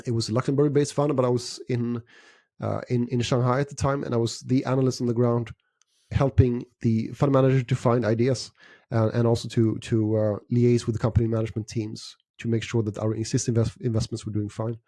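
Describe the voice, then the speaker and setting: A middle-aged man from Sweden, answering interview questions in a measured way with frequent fillers and restarts. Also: mid-range voice, little pitch movement, mellow, no echo, studio quality. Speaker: guest investor.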